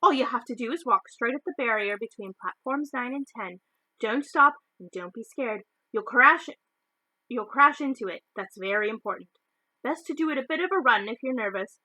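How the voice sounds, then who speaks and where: A woman voicing a kindly, brisk mother who reassures and shepherds children in a storybook read-aloud. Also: on the high side, lively intonation, pushed and bright, dry recording, poor audio. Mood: kindly, reassuring.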